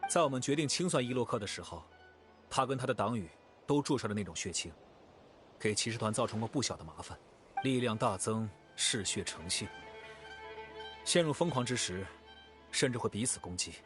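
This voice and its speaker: An actor playing a grave, composed knight in fantasy game dialogue, speaking in a measured, solemn way. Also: male voice, mid-range voice, lively intonation, natural voice. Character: grave composed adult male knight recounting a dark tragedy. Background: orchestral game music.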